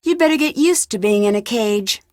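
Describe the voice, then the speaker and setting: A woman playing a self-possessed young female detective in a video game, speaking in a composed, clearly enunciated way. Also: on the high side, expressive, moderate pace, a little mellow, dry recording, studio quality, natural voice. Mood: defiant.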